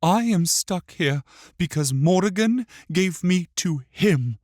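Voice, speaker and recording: A tormented young ghost boy. The voice sits very high and swings with emotion. He pleads like a frightened child who has suffered terribly. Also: lively intonation, slow, darkish tone, dry recording, clean studio sound. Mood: bitter grief. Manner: pleading, emotional, shrill when upset. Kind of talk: dramatic audiobook character acting.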